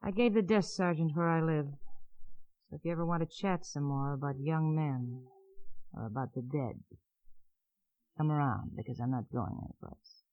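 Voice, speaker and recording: A woman's voice: low voice, moderate pace, pauses often, close to an everyday voice. Woman playing a jaded, defiant noir widow, muffled. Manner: world-weary, sardonic, clipped. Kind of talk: 1950s radio crime acting. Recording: muffled old radio recording.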